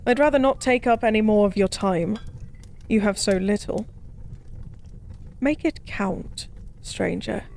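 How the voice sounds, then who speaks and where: A woman voicing a lonely, drunk fallen goddess in a soft-spoken audio roleplay, musing and rambling with weary melancholy. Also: medium pitch, measured pace, gravelly, long pauses, a little hiss or hum. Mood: weary, wistful.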